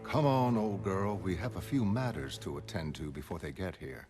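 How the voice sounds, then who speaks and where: Low-voiced, aloof genius mastermind. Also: male voice, expressive, over music. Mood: calm, courteous. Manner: formal, measured, erudite. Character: brilliant, aloof, self-assured male mastermind, soliloquizing to his pet. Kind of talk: scripted dramatic monologue in a motion comic.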